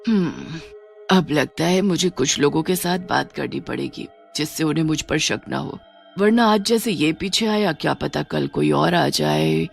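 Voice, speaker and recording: A woman's voice: low-pitched, vocal fry, voice pushed down, full character voice. A woman voicing a bloodthirsty young witch in a horror story, menacing and taunting as she schemes. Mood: anxious scheming. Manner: menacing, taunting, muttering to herself. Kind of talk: Hindi horror story character acting.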